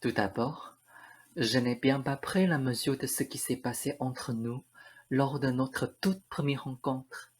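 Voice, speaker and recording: A man's voice: mid-range voice, steady tone, a little slow, breathy edge. A man playing an earnest, lovestruck young prince in a French radio play, speaking in solemn declarations. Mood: earnest, smitten.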